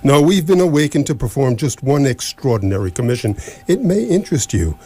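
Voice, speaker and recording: Male actor as elderly alien designer, husky, very animated pitch, proud, rambling, understated, radio comedy. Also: a little fast. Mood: matter-of-fact. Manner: understated, rambling, old-fashioned British turns of phrase. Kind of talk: comic radio drama acting.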